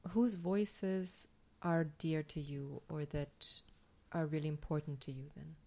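A woman asking interview questions in a calm, measured way. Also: deep voice, vocal fry.

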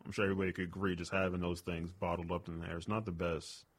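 A man's voice: level tone, fast talker. A man, a stand-up comedian, chatting casually as an interview guest, rambling with lots of "like" and "you know". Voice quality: booming voice.

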